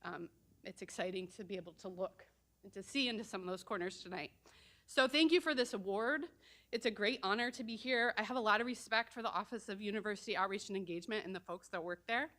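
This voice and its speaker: Bright-voiced woman with many ums. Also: medium pitch. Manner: frequent ums and uhs. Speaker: doctoral student and environmental educator. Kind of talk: award acceptance speech.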